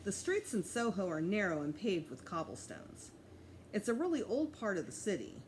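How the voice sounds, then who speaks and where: A woman reading a children's story aloud in an expressive way, like a teacher at storytime. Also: medium pitch, moderate pace, a little hiss or hum, low-quality recording. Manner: expressive, acts out dialogue. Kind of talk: storybook read-aloud with character voices.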